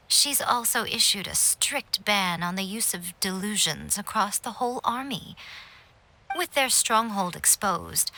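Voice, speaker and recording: A sly, teasing shrine priestess. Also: female voice, mid-range voice, pushed and bright, poor audio. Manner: teasing, coy, unhurried. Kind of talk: video game cutscene dialogue.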